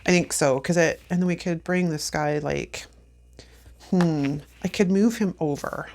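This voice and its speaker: A woman's voice: fairly low pitch, measured pace, darkish tone. A woman in her fifties, sniffly from allergies, chatting casually to viewers while she decorates a planner, talking to herself and laughing often. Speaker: planner vlogger. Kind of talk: casual plan-with-me chat.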